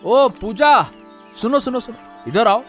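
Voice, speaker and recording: A man acts out a scheming village orchard owner from a cartoon fable. His voice sits high for a man and he blusters, barking repeated orders like a bully. Faint music runs behind him. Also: measured pace. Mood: probing, sly. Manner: blustering, exclamatory, repeats commands. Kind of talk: Hindi cartoon moral-story voice acting.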